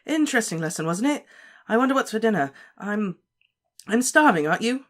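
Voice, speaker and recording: A rattled teenage schoolboy, as a male narrator puts him on, babbling in a strained, much higher voice. He trips over his words and stammers. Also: very animated, a little fast. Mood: jittery, forced. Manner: high-pitched, gabbling, stammering. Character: nervous teenage schoolboy, shaken, gabbling.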